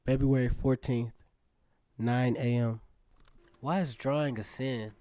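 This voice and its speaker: An earnest teenage boy in a radio drama, played by a man, reading love letters in a mellow, soft-edged voice. The sound is dry and close, and the phrasing is poetic. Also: medium pitch, steady tone, takes it slowly, dry recording, close to an everyday voice. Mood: earnest, pleading. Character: earnest lovestruck seventeen-year-old male letter writer.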